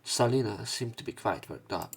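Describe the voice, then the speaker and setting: A man with a fairly low voice narrates a visual novel as a let's play. He reads story and dialogue aloud a little haltingly and corrects himself. Faint game music plays underneath. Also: vocal fry, husky.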